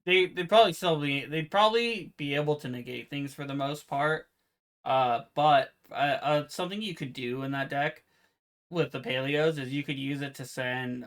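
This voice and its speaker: A man co-hosting a casual podcast, with a naturally quiet voice, explaining card game rules in a relaxed, meandering way. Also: mid-range voice. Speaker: podcast co-host. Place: home room with fan noise removed by software.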